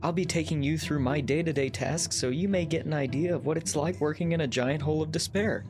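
An officious male pit boss acted by a man in a comedy audio drama, with a somewhat high voice. He delivers pompous, deadpan corporate patter with thinning patience, over light music. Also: a little fast, close to an everyday voice. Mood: officious, cheery.

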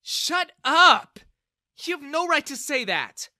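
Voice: medium pitch, expressive, measured pace, no room sound, clean studio sound, voice pushed up. A storyteller voicing an outspoken young woman defending a friend. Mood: angry. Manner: fierce, then soft and shy.

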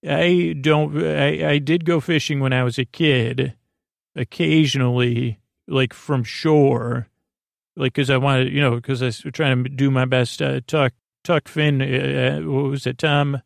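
A man hosting a bedtime podcast in a meandering, halting monologue, doubling back on phrases with deadpan whimsy. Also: medium pitch, creaky, no room sound, studio quality. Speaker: podcast host.

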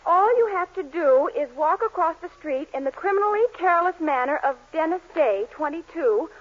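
An actress playing a sweet, patient young girlfriend in a 1940s radio sitcom, gentle and a little wistful. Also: extremely high pitch, expressive, normal speed. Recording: dull 1940s radio broadcast recording.

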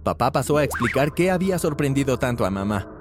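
A man narrating a scripted first-person story with animated delivery, shifting his pitch when he voices the characters. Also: fast, noisy background.

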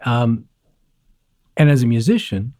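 A male host interviewing a guest, agreeing often and steering with short questions. His voice sounds dark and relaxed. The room sound is dry. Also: mid-range voice, a little slow, no echo. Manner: affirming, prompting questions. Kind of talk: conversational interview.

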